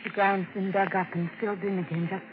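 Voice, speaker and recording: An actress plays a distraught, fearful wife in a vintage suspense radio play. She speaks haltingly and breathlessly, stumbling over words. The recording is muffled, with heavy old radio noise. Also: medium pitch, level tone, lightly acted. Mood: fearful, secretive. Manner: halting, breathless, stammering delivery. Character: frightened, distraught young wife suspecting murder. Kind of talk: 1940s radio drama acting.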